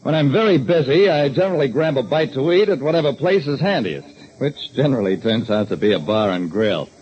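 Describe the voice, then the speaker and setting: A man's voice: mid-range voice, lively intonation, fairly quick, dull audio, natural voice. An actor playing a terse, observant private detective, narrating his casebook in a clipped, dramatic 1940s radio style on a hissy old recording. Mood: dramatic, brooding. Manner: dramatic, clipped hard-boiled storytelling.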